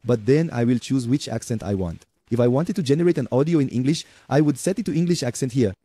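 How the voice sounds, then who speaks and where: Give me a chatty man with a Portuguese accent walking through a software tutorial, warm and dark, close and dry. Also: mid-range voice, expressive, fast talker, no echo. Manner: chatty, addresses viewers as folks, frequent okay and right. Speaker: tutorial presenter. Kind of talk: screen-recorded software tutorial.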